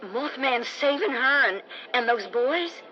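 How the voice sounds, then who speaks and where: A woman's voice: sits high, animated, unhurried, bright, forceful tone, full character voice. A woman playing an anxious, melodramatic mother in an old-time radio drama. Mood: worried. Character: anxious, distraught mother of a young girl. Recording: very noisy lo-fi radio sound.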